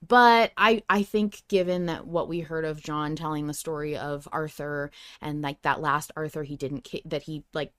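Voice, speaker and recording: A woman hosting a casual fan podcast, talking in an animated, opinionated, conversational way. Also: low voice, moderate pace, hardly any pauses. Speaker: podcast host.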